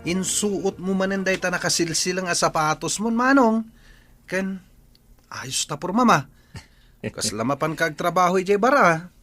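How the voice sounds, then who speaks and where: A woman's voice: fairly low pitch, expressive, moderate pace, fairly bright tone, soft background music. A woman with an Ilocano accent playing an admiring, teasing younger student sibling in a radio drama. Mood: excited, teasing.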